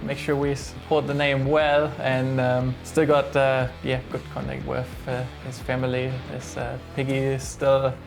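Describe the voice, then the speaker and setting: A man's voice: medium pitch, unhurried, vocal fry, hardly any pauses, music in the background. A middle-aged man from Germany with a German accent, talking casually and hesitantly about his workshop. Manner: relaxed, hesitant, many fillers and restarts. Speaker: workshop owner. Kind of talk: casual interview.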